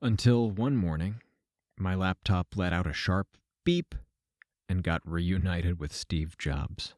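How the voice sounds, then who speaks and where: An actor playing a weary, regretful aging man, narrating his own story in a measured, reflective way for a fiction podcast. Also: low-pitched, no room sound, studio quality. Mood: somber, reflective. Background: music.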